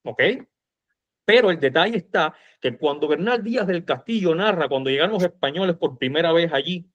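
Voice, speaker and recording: A man's voice: fairly high pitch, expressive, a little fast. A man with a Cuban accent talking about history in an informal, emphatic and colloquial way, on a slightly dull recording. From Cuba. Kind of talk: animated informal history discussion.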